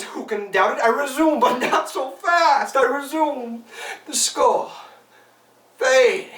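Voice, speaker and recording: Frantic absurdist stage servant , played by a woman: high voice, disjointed repetitive declamation of learned nonsense, distant in an echoey room. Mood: anguished, fading. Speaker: actor. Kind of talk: theatrical monologue performance.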